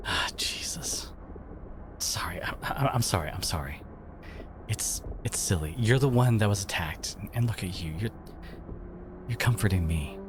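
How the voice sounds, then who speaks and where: A man playing a devoted, protective boyfriend in an intimate ASMR audio roleplay, speaking haltingly with sighs and whispers, emotional and tender. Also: deep voice, lively intonation, noisy background. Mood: choked up, apologetic. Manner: halting, sighing, slipping into breathy whispers.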